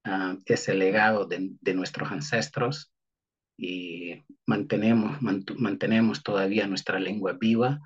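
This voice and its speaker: Hombre de voz grave y ronca. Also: male voice, lowish voice, fairly even intonation, husky, highs cut off. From Honduras. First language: Garifuna. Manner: halting, many fillers and restarts. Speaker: guest historian. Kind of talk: interview answer, historical account.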